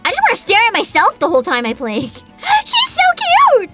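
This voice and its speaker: A young woman hosting a livestream, chatting casually with an excitable, giggly, informal manner. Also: very high voice, moderate pace. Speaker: livestream host, vtuber. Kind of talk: casual livestream chat and reactions. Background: background music.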